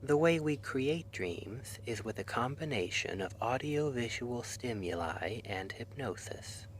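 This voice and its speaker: A man playing a gentle, reassuring clinician at a dream institute in an ASMR roleplay, soft-spoken and soothing, often slipping into a whisper. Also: medium pitch, lively intonation, a little slow, slight background noise, close to an everyday voice. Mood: reassuring, explanatory.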